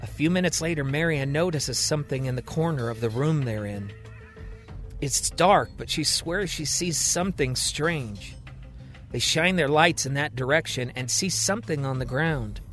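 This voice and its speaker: A man telling a serial thriller in steady, expressive scripted narration. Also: on the high side, normal speed, faint music behind. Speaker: storyteller.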